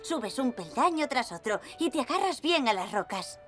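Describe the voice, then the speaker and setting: A young woman climbing instructor from a children's cartoon, in Castilian Spanish. Her voice is fairly high and very animated, cheerful and reassuring as she talks to little ones. Soft music plays behind her. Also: normal speed. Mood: encouraging. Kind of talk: animated dub dialogue.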